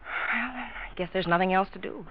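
An American actress playing a trusting, obliging young secretary in a 1940s radio drama, speaking expressively and agreeably. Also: female voice, mid-range voice, moderate pace, breathy edge. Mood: obliging, friendly. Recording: dull noisy vintage radio recording.

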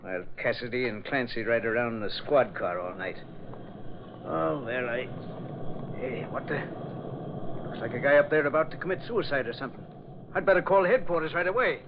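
Radio play bit part: male actor as folksy flatfoot cop, grumbling, muffled recording over clear noise. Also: medium pitch, moderate pace, pauses often, natural voice. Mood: startled. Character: grumbling, self-pitying male beat policeman. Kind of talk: 1940s radio acting. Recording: muffled old radio recording, noisy background.

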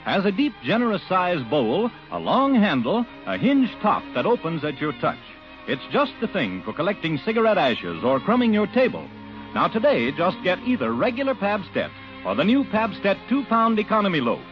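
A man's voice: high-pitched, huge pitch swings, normal speed. An American man delivering an old radio commercial as a punchy, enthusiastic salesman. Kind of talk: 1940s-50s radio commercial. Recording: dull old radio recording with music.

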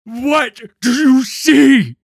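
A man voicing a stern, bitter mage hunter from a fantasy story, rough-voiced, sneering and accusatory. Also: lowish voice, animated, takes it slowly, no echo, studio quality, heavily acted. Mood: furious interrogation. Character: stern, bitter male mage hunter, grieving and menacing interrogator.

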